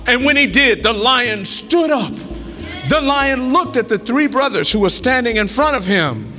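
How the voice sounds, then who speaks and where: A man's voice: extremely high pitch, animated, unhurried, bright, forceful tone, faint music behind. A man telling a folktale aloud to an audience in a dramatic way, calling out to his listeners. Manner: dramatic, call-and-response with the audience. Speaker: storyteller.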